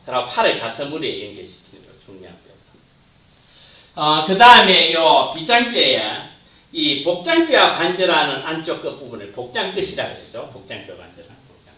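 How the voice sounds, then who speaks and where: A man giving an anatomy lecture, pointing at slides and naming parts, his voice dropping at the end of phrases. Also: a little high. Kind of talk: classroom lecture. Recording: roomy, distant, muffled lecture capture.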